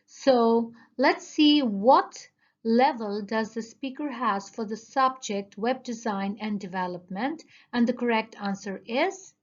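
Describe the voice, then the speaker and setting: A woman's voice: fairly high pitch, dull audio. A woman teacher going over listening answers with her students, speaking carefully and addressing them directly. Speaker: English teacher. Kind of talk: slow classroom answer review.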